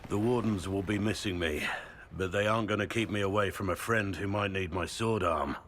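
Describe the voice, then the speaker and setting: Deep, husky veteran warrior. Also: male voice, a little fast, fairly bright tone. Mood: sincere, fond. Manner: easygoing, good-humoured. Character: warm, joking veteran male warrior. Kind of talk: video game voice acting.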